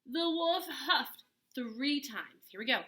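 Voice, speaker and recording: A woman reading a picture book aloud to children as an expressive storyteller, dramatic and full of emphatic pauses. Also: sits high.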